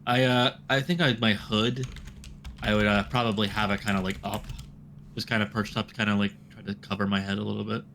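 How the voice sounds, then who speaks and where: A player in a tabletop game chats casually and throws in quick jokes. The voice sits at a middle pitch. Faint noise and the clicking of typing on a keyboard can be heard in the background. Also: little pitch movement, a bit bright. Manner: joking, quick quips.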